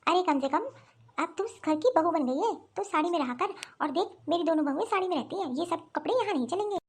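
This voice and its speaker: A woman's voice: very high-pitched, lively intonation, fast talker. A woman playing a strict, nagging mother-in-law in a Hindi family cartoon, scolding and insistent.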